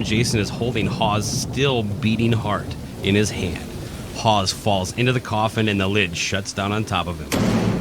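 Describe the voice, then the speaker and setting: This is a man with a fairly deep voice reading scripted narration for a horror radio play. He tells it dramatically, over very loud ambient noise. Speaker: narrator and podcast host.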